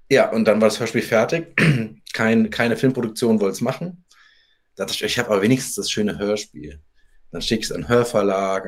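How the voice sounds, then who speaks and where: A man's voice: fairly low pitch, husky. Conversational man telling anecdotes. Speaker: interview guest, audio drama author. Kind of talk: conversational interview, anecdotal storytelling.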